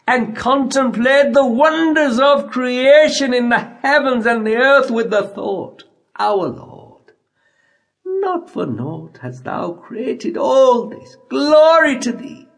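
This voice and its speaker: Slow, high-pitched man reciting scripture. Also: vocal fry, a bit bright. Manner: measured, declamatory phrasing with frequent pauses. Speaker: audiobook narrator.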